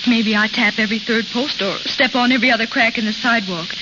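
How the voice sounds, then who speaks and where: A woman's voice: fairly high pitch, steady tone, fast talker, lightly acted. An actress playing a guarded young society woman with a secret, in old radio drama style. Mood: troubled, evasive. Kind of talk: 1940s radio acting. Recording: muffled, hissy old radio recording.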